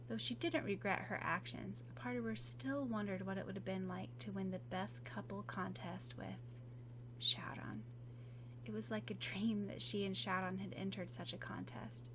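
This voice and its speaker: Woman narrator reading softly. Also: mid-range voice, steady tone, moderate pace, noisy background. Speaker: audiobook narrator. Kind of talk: reading fan fiction aloud.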